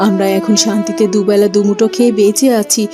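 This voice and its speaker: A woman's voice: mid-range voice, flat delivery, quick pace, over music, natural voice. A woman playing a repentant former schemer in a cartoon, now humble and grateful, speaking earnestly and reflectively. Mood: remorseful, grateful. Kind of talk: cartoon voice acting.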